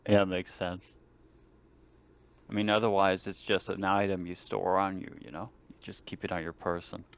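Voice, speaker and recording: Male gamer, deep gravelly voice, offhand conversational delivery. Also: level tone, normal speed. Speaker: player in a tabletop game. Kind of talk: casual chat.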